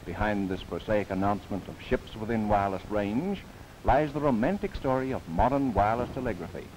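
A man's voice: fairly low pitch, brisk. A man narrating a 1930s government documentary film, with playful asides. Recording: old 1939 film soundtrack with faint hiss.

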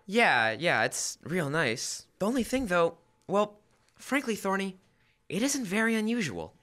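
Fussy, earnest husband played by a young male actor, classic radio comedy, hugely animated pitch, forward bright tone, hesitant timing. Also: a little slow, full character voice. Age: teens to young adult. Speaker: student actor. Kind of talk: old-time radio comedy acting. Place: school library, indoors, live stage reading. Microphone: stand microphone.

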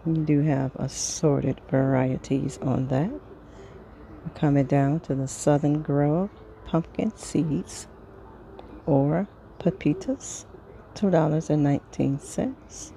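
Slow, mellow-voiced woman with creaky tone. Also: low voice, a little hiss or hum, low-quality recording. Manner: unhurried, item-by-item, pausing between phrases. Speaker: vlogger reading a grocery sales flyer. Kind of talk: casual product read-through.

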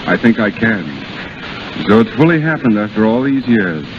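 A self-sacrificing young English gentleman, gallant and restrained. His voice sits fairly low with varied intonation, in 1930s radio style on a dull old broadcast. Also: big pitch swings, moderate pace, background noise. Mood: resigned, gracious.

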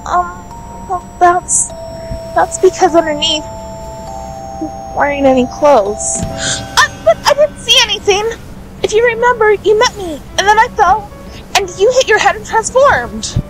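A woman's voice: very high-pitched, animated, unhurried, long pauses, over music, poor audio. A woman playing an earnest, kind-hearted teenage girl in a radio drama, with a hesitant, innocent manner. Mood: flustered.

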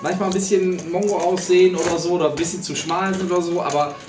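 Man, 30s, co-host, slightly high voice, enthusiastic rambling, slangy, far from mic, echoey room. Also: fairly even intonation, fast, music in the background. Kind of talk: casual chat. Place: small hobby workshop indoors.

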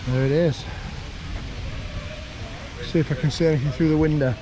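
A young man giving a relaxed, chatty walking tour outdoors, pointing things out as he goes, recorded on a handheld camera. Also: fairly high pitch, moderate pace, background noise. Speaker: vlogger. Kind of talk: casual walking tour commentary. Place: outdoors walking around a lakeside town.

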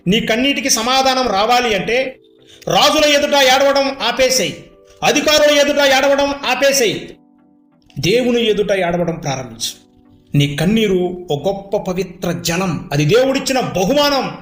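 High-pitched male preacher in echo. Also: a bit bright. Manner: emphatic, repetitive exhortation with rhetorical questions. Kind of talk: sermon and prayer. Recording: echoey, with music behind. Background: music.

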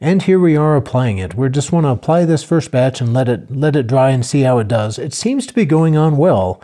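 Older man narrating in a mellow voice. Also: mid-range voice, a little fast. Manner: plain, steady, matter-of-fact explaining. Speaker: homesteader narrating a build video. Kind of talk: relaxed voice-over narration.

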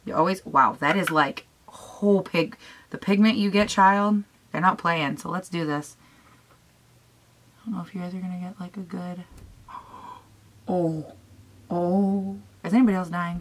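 A young woman with a raspy voice chatting casually through a makeup tutorial at home, gushing and talking to herself as she goes. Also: on the low side, fairly bright tone. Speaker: beauty vlogger. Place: small room at home, indoors.

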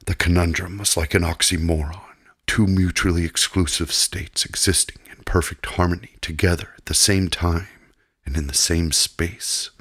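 A man reads a novel aloud in a low, husky voice. His delivery is measured and deliberate, and the recording is close and dry, in audiobook style. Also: fairly even intonation, a bit bright, no echo. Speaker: audiobook narrator. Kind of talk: scripted fiction narration.

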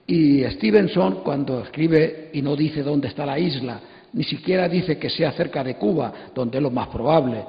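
A man's voice: a little high, normal speed, gravelly. A man in his sixties with a Castilian Spanish accent, speaking emphatically and digressively as a panelist, repeating phrases as he explains. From Spain. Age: 60s. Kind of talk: animated informal lecture to an audience. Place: stage of an auditorium. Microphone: handheld microphone. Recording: echoey hall PA, dull sound.

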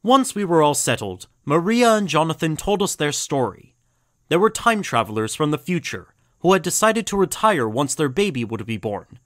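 A man's voice: fairly high pitch, very animated, normal speed, no room sound, clean studio sound. A man playing an earnest doctor recounting a story in an audio drama. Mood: earnest storytelling. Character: earnest male doctor and time traveller, recounting.

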